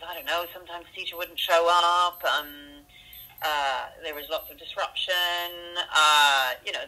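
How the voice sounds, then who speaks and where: A woman's voice: fairly low pitch, sharp tone. A woman who grew up in Canada, a school headmistress, talking earnestly in a phone interview with many ums, from a school office. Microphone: telephone. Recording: phone-line audio.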